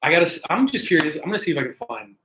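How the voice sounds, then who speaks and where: A man's voice: fairly high pitch, very animated, racing. A young man co-hosting a casual podcast chat, laid-back and chuckling along. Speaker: podcast co-host. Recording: roomy echo with faint noise.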